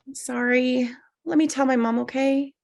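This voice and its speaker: A woman's voice: high-pitched, fairly even intonation, normal speed, gravelly, darkish tone, no echo, studio quality. A woman playing a bitter, awkward, sarcastic single woman down on her luck at a comedy table read. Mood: dejected.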